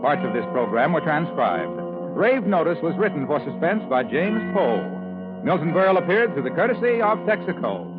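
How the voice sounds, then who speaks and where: A man announces in 1950s radio commercial style. His voice is rather high and swings animatedly in pitch. The old broadcast sounds narrow and tinny, with music behind. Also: big pitch swings, moderate pace.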